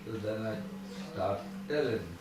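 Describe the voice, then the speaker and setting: A man, slowly telling an old story with restarts and small coughs. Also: on the low side. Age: 70s. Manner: slow and halting, with restarts and coughs. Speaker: storyteller recalling his past. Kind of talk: casual reminiscing. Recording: echoey room, distant mic, loud background noise.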